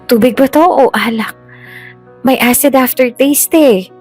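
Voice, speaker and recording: A woman's voice: high-pitched, a little slow, a little mellow, soft background music, pitched above the performer's own voice. A woman voicing a naive, emotional young bride in a dramatized audio story, exclaiming dramatically. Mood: puzzled.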